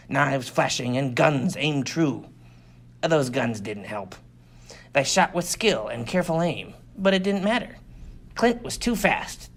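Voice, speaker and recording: A man's voice: fairly high pitch, a bit bright. A man reading a science fiction adventure story as dramatic scripted narration. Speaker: audiobook reader.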